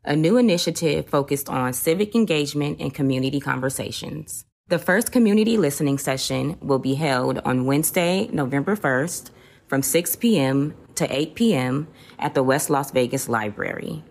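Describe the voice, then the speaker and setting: A woman reporter reading an event promo in a measured announcing way. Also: low voice, animated, vocal fry, a little mellow. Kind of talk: read promo.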